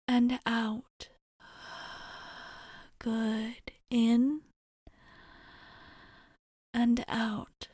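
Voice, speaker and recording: Female actor for ASMR roleplay: gentle alien rescuer woman, soft, reassuring, curious, unhurried delivery, close dry sound. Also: on the high side, no room sound. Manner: soft, reassuring, hesitant, caring.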